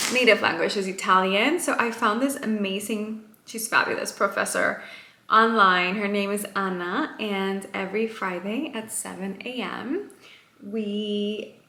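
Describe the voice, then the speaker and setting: A woman's voice: medium pitch, a little slow, bright, forceful tone. A woman whose first language is Spanish, chatting to camera at home in an upbeat, encouraging way as a lifestyle vlogger. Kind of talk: casual chat to camera. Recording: roomy echo.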